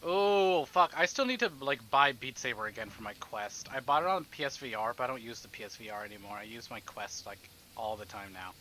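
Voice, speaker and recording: A man's voice: mid-range voice, lively intonation, fairly quick, few pauses, pushed and bright, a little hiss or hum, poor audio. A man hosting a casual livestream, chatting to viewers in a playful, rambling, exclamatory way and often repeating himself. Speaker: livestream host, gamer.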